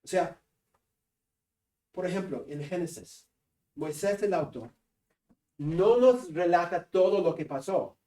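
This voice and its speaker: A man with a northern Peruvian Spanish accent giving a seminary class lecture, joking with students and pausing on fillers. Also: high voice, animated, mellow. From Peru. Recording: echoey classroom from a distance.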